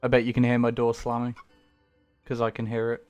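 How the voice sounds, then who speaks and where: A man's voice: medium pitch, monotone, a little fast, clean tone, soft background music. A young man chatting casually while streaming a game at home, rambling and self-deprecating with the odd swear. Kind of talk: casual gaming stream chat.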